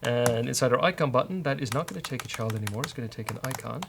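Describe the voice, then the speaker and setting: A man talks through code in a casual, bantering way, thinking aloud as he works. His voice sits at a middling pitch, with typing clicks and light noise behind it. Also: fast, gravelly. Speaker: host of a coding show. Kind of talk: casual live-coding talk with a co-host.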